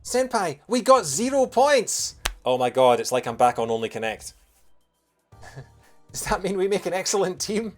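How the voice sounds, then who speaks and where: A man from Lanarkshire with a soft Scottish accent, doing casual, jokey live gameplay commentary as a streamer. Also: high voice, moderate pace, long pauses. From Bothwell, Lanarkshire, Scotland. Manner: jokey, punning, animated when reacting to the game. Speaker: video game streamer. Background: game music.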